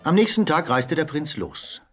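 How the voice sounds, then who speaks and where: A man's voice: on the high side, sing-song, normal speed, faint music behind. A man narrating a scripted fairy tale as storyteller.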